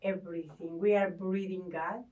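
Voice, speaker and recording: A woman's voice: lowish voice, fairly even intonation, narrow, tinny audio. Earnest woman from Ecuador, slightly distant. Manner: earnest, halting, searches for words. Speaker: guest. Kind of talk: spiritual conversation.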